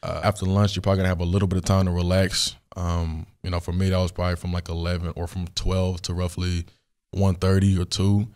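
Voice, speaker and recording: A young man with a hoarse, worn voice, hosting a solo question-and-answer podcast in a studio, talking in a relaxed, rambling way with many fillers. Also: low voice, fast. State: hoarse, recovering voice. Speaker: podcast host.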